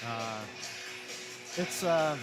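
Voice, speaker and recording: A man in his fifties giving relaxed hockey color commentary, hesitant and full of fillers. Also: a little high, very slow. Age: 50s. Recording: loud music in the background. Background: loud arena music.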